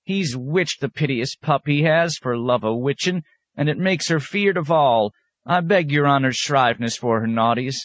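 A man's voice: mid-range voice, expressive, normal speed, no echo, highs cut off, voice pushed up, close to an everyday voice. A man voicing an old, toothless tomato woman who drawls in rustic, old-fashioned speech. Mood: wheedling.

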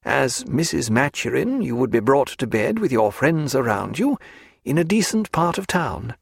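A reserved gentleman doctor, as a male reader plays him, formal and measured yet lively in pitch. Dry, close recording. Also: vocal fry, husky, no echo, exaggerated voice. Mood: earnest.

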